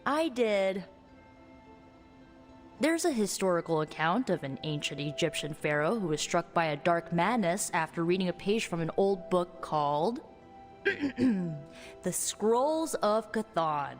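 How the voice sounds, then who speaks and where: An actress playing a chatty, wry young sorceress in a video game, with a breezy, playful conversational manner. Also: female voice, lowish voice, sing-song, measured pace, faint music behind. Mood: intrigued, earnest. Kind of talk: video game cutscene acting.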